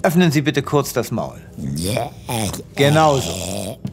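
A man's voice: mid-range voice, sing-song, vocal fry, soft background music, heavily acted. An actor playing a self-important, easily exasperated male space vet in a comedic sci-fi audio drama, pompous and brusque. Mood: businesslike. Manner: pompous, brusque, halting when flustered.

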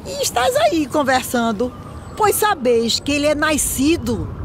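Urgent village herald, high voice, slow drawn-out calls, cartoon verse drama, slight background ambience. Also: female voice, big pitch swings, a little hiss or hum, full character voice. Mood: insistent, heralding. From Brazil. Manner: drawn-out, declamatory calling. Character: skeletal village woman, urgent bearer of news. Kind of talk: animated verse drama, calling out. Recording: faint outdoor ambience behind the voice.

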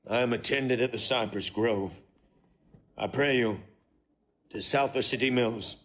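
An actor playing a fierce, defeated warrior general, declaiming vehemently in classical Shakespearean style. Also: male voice, medium pitch, unhurried, a bit bright, close to an everyday voice. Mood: grimly commanding. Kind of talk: classical Shakespearean stage acting.

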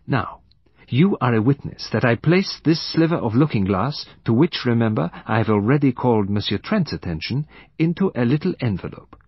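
A man voicing a meticulous, sly older male detective in an audiobook, with formal, deliberate foreign phrasing. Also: mid-range voice, animated, husky, dry recording, muffled recording. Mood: theatrical, assured. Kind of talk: audiobook character voice.

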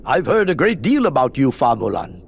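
An actor playing a stately elderly emperor, stern yet benevolent, speaking formally and with solemn measure in a game cutscene. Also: male voice, mid-range voice, sing-song, normal speed, creaky, slight background noise.

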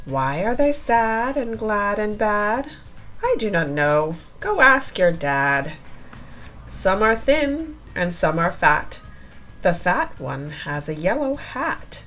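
A woman reading a children's picture book aloud in an expressive, sing-song storytelling style with deliberate phrasing. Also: fairly low pitch.

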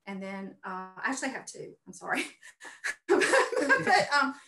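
A woman's voice: a little high, wildly swooping pitch, a little slow, bright, forceful tone. A middle-aged woman talking as a guest in an earnest, effusive conversational way, often repeating phrases for emphasis. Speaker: guest, painter and art teacher. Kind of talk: conversational personal testimony. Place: indoor room at home, on video call. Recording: roomy, echoey video call sound.

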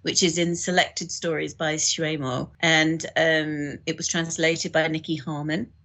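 Female podcast guest, slightly low voice, casual and explanatory, production professional. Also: flat delivery, a little slow, fairly bright tone. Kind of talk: casual explanatory interview talk.

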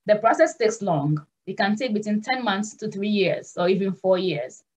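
A woman's voice: mid-range voice, animated, normal speed, a little mellow. A young woman, a nurse and consultant, hosting a live video-call Q&A at home in an earnest, direct and reassuring way. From Ghana. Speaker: nurse and migration consultant host. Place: small room at home.

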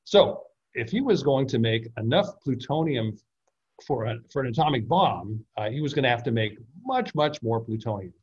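A man's voice: mid-range voice, animated, normal speed, darkish tone, slightly rough. A middle-aged man from the United States giving an author's talk over a video call, explaining with slides and frequent fillers and restarts. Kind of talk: illustrated slide presentation. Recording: dull lo-fi video call audio.

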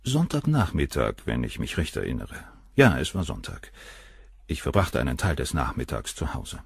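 A man's voice: very deep voice, huge pitch swings, normal speed, vocal fry, breathy edge, dry recording, clean studio sound, exaggerated voice. An actor in a German crime radio play playing a cold, cynical middle-aged surgeon, detached and coolly superior as he answers questions. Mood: coolly dismissive.

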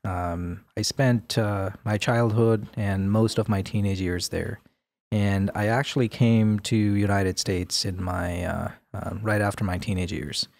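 A man in his forties, born in India, speaking as a podcast guest in a measured, thoughtful way with many pauses and fillers, on a boom mic in a studio. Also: fairly even intonation, gravelly, no echo. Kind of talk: podcast interview. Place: studio room with plants. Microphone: boom mic with headphones.

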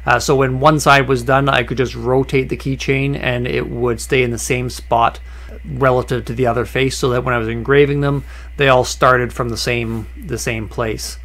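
A man explaining a woodworking project in a relaxed, conversational voice-over, with frequent "uh" fillers and small restarts. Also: mid-range voice, normal speed. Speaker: woodworking channel host. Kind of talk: voice-over narration of a workshop project.

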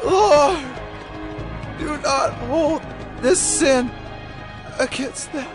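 An actor playing a fervent, defiant preacher and martyr in a dramatized scripture reading, declamatory and impassioned. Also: male voice, extremely high pitch, very slow, music in the background, heavily acted. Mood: anguished pleading.

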